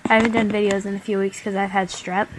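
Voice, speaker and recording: A woman's voice: mid-range voice, flat delivery, fast talker, noisy background. A young woman, stuffy from a cold, chattily talking through a bead craft tutorial step by step. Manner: chatty, many "like" fillers.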